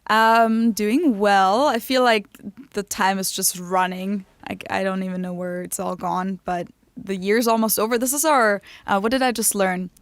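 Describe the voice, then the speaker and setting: A woman's voice: mid-range voice, fairly even intonation, moderate pace, no room sound. A woman who is a native German speaker, co-hosting a podcast in casual, chatty English with frequent giggles. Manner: giggly, laughs often between remarks. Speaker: podcast co-host. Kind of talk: casual chat.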